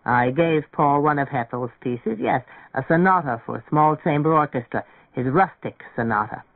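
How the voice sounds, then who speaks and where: A narrator voicing a calm grown man in an audiobook, speaking in a matter-of-fact, gently reassuring way. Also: mid-range voice, normal speed, gravelly, no echo, narrow, tinny audio. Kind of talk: audiobook character dialogue.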